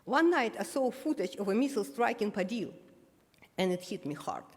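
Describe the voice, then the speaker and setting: A woman's voice: mid-range voice, big pitch swings. Older woman, reverberant hall. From Ukraine (Kyiv). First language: Russian. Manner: measured, solemn, small slips of the tongue. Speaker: guest speaker at remembrance ceremony. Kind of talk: read personal reflection.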